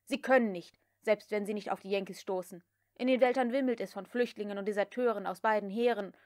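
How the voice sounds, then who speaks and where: A woman voicing a cool, mocking gentleman who takes command, as part of an audiobook reading. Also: medium pitch, steady tone, a little fast, no room sound, studio quality, lightly acted. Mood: sharp, disbelieving. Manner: sardonic, crisp, commanding. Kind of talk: dramatic audiobook character reading.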